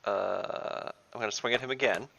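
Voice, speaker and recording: A man's voice: on the low side, normal speed, gravelly, sharp tone. A man chatting casually as a player in an online tabletop game, on slightly dull, dry audio.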